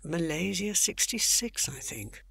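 A woman acting a gentle, protective older former nanny in an audio drama. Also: lowish voice, sing-song, measured pace, creaky, breathy edge, no room sound, full character voice. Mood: calm, protective.

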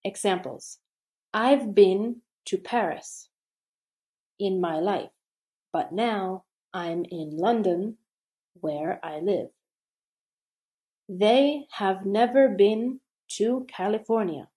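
A woman reading a scripted grammar lesson aloud, slowly and deliberately with pauses between phrases. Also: mid-range voice, dark tone. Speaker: English teacher.

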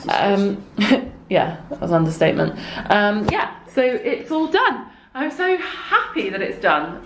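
A young woman vlogging a home renovation in a casual, upbeat way, with lots of ums, filmed on a handheld phone in a half-done house. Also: mid-range voice. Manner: chatty, upbeat, many ums, chats to her toddler in between. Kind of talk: casual vlog to camera. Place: half-renovated house, echoey bare kitchen. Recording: echoey room, faint noise.

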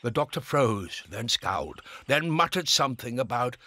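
Grave audio drama storyteller, husky, bright edge, animated delivery, dry studio sound. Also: male voice, medium pitch, normal speed, no room sound. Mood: grave, suspenseful. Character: grave storyteller recounting a wartime sci-fi adventure. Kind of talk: dramatic storytelling narration with character dialogue.

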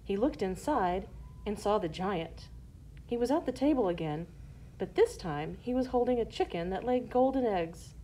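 Mellow woman narrating a children's story. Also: mid-range voice, animated, normal speed, a little hiss or hum, rough recording.

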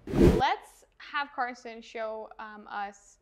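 A woman's voice: a little high, very slow. A young woman hosting a show and asking casual interview questions. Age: young adult. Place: indoor room, on a couch or chair.

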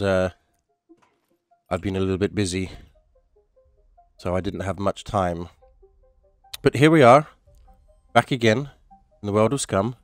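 Stuffy-nosed man, low voice. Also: dry recording. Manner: chatty, rambling, mild swearing. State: stuffy, nasal from a cold. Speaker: gaming YouTuber. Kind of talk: casual gameplay commentary.

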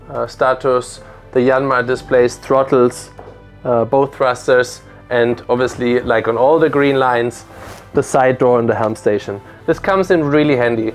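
Guy in his 30s walking you round a boat, explains each feature step by step, lapel mic, faint music behind. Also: mid-range voice. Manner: explanatory, methodical, lists features. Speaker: boat presenter. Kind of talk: product walkthrough. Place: aboard a motor yacht on a lake, on deck and in cabins.

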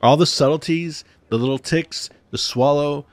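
A man in his forties hosting a reaction video, talking casually and emphatically into a close desk mic in a home studio, opinionated and animated. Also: fairly high pitch, moderate pace, slight background noise. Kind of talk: casual commentary reacting to a TV show. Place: small home studio room, indoors. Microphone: close desk microphone.

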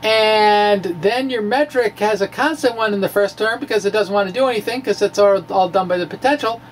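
A man's voice: high voice, brisk. Quirky man over noticeable background noise. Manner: quirky, theatrical, jokey, folksy. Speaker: science video blogger. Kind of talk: dramatic reading of a physics blog with informal asides. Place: small indoor room with bookshelves. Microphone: microphone on a stand in front of him.

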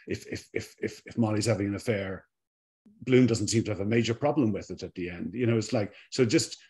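A man's voice: lowish voice, a little mellow. A middle-aged Irish man, a documentary director, answering reflectively and repeating words as he searches for them, on a video call from a home room with bookshelves. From Ireland. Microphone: video call webcam.

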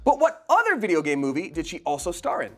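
A man's voice: a little high, huge pitch swings, fast talker, slight background noise. A young man with a theatrical, jokey way of talking, playfully hosting a quiz show. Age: young adult. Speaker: game show host. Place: indoor studio set.

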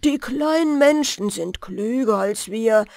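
Woman playing an earnest, slow-talking giant. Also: on the high side, lively intonation, vocal fry, darkish tone, no room sound, clean studio sound, heavily acted. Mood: earnest, insistent. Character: quarrelling giant, earnest and simple-minded.

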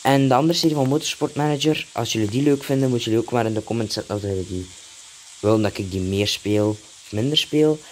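Man, Flemish accent, casual gameplay commentary, mid-pitched voice, noticeable background noise. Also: fast, a little mellow. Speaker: gaming video host.

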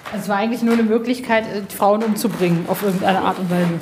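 Quick-talking woman reporter, noisy background. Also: medium pitch, steady tone, darkish tone. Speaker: reporter visiting a museum. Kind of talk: casual on-location chat. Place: inside a museum, on location.

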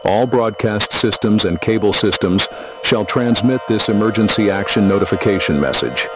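Low-pitched man, formal emergency announcer. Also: rough recording. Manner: formal, measured. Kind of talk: scripted emergency broadcast announcement.

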